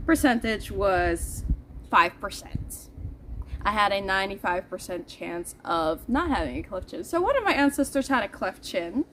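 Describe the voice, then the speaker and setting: A woman's voice: mid-range voice, a little hiss or hum, low-quality recording. A woman from the Netherlands, chatting casually and playfully to her viewers. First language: Dutch. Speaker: vlogger. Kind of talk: casual vlog chat to viewers. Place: outdoors in the heat.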